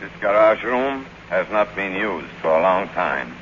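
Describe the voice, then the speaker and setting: An actor playing a devoted older manservant in a radio drama, formal, measured and deferential in manner. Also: male voice, deep voice, animated. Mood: solemn, reverent. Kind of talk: 1950s radio drama acting. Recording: muffled, noisy 1951 radio recording.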